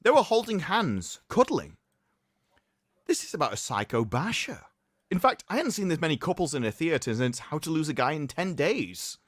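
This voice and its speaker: Man, sarcastic livestream host, theatrical mock reading, lively swooping delivery, close dry sound. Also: a little high, no echo. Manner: sarcastic, theatrical, drawn-out emphasis. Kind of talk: mocking dramatic reading of an article with commentary.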